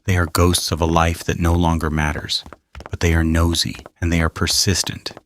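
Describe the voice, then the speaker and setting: A man voicing a menacing, controlling male captor in a dark romance audiobook, speaking low and clipped with a commanding manner. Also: completely flat delivery, normal speed, lightly acted. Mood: tense, dismissive.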